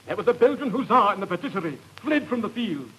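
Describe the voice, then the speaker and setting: An actor with a British accent playing a pompous, cowardly, blustering gentleman in a 1950s radio drama. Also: high-pitched, very animated, quick pace, exaggerated voice, low-quality recording. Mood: panicked. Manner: blustering, stammering. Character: pompous, cowardly, greedy military-posing English gentleman. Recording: hissy 1950s radio recording, rough sound.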